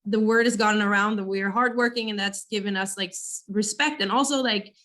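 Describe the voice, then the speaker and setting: A young woman with a naturally raspy voice talks as a guest in a casual interview. She hesitates, restarts and fills gaps with "like" and "you know". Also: medium pitch, fairly even intonation, a little fast, a bit bright. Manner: casual, hesitant, laughs often. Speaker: band vocalist, interview guest.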